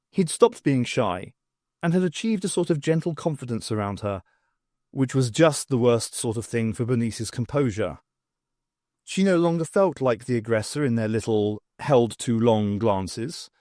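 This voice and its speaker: A man reading fiction aloud as an audiobook narrator, in an expressive storytelling style. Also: mid-range voice, no echo, studio quality.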